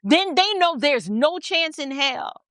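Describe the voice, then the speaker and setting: A woman's voice: high voice, animated, slow pace, fairly bright tone, no room sound, clean studio sound. An American woman giving opinionated off-the-cuff political commentary, blunt and emphatic, repeating phrases for stress.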